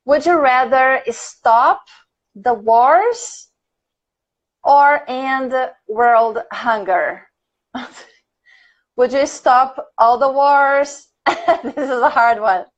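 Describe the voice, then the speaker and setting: A woman's voice: high-pitched, very slow, long pauses, highs cut off. Chatty Brazilian woman teaching English. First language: Portuguese. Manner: chatty, laughs often, thinks aloud with fillers. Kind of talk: casual conversation class on a livestream.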